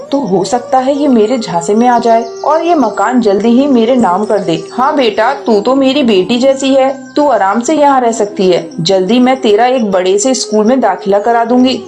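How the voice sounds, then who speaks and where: A woman's voice: a little high, warm tone, natural voice. A woman voicing a spiteful, scheming female sparrow in a cartoon, sneering and sly, with music behind. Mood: falsely sweet. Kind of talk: dubbed cartoon acting.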